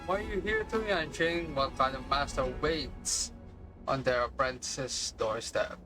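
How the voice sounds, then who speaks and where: A man's voice: medium pitch, measured pace. A man doing casual game commentary as a streamer, muttering asides in reaction. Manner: hums nonsense tunes, muttering asides. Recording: game music behind the voice. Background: game music.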